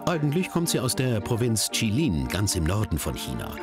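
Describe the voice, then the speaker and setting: Lively low-voiced male documentary narrator. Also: moderate pace. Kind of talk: scripted voice-over narration. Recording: narration over background music. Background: background music.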